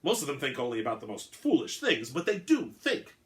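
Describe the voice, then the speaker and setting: A man's voice: medium pitch, wildly swooping pitch, brisk. A man playing a scruffy, weary, sardonic vampire hunter who is dry, needling and opinionated, in a story reading. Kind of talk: dramatic reading of an all-dialogue short story.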